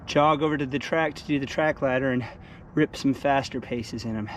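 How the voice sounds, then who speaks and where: A man in his thirties, losing his voice, talking casually and thinking aloud while reviewing running shoes outdoors on an action camera. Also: normal speed. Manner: low-key, thinking aloud. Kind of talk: casual vlog commentary. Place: outdoors on a porch and dark streets. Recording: camera audio with loud outdoor noise.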